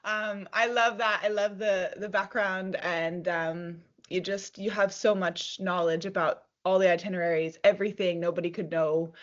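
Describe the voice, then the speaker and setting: A woman's voice: medium pitch, steady tone, moderate pace, hardly any pauses, lo-fi sound. A woman hosting a casual interview, upbeat and enthusiastic. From Australia. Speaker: interview host.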